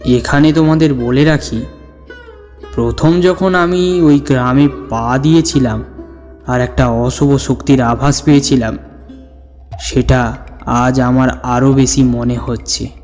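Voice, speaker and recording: Guy playing older man telling a spooky story, soft dark voice, measured suspense, bit of music behind. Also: medium pitch, fairly even intonation, natural voice. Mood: suspenseful. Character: older male occult-versed storyteller recounting his ghostly encounter. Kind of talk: dramatic scripted horror story narration. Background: background music.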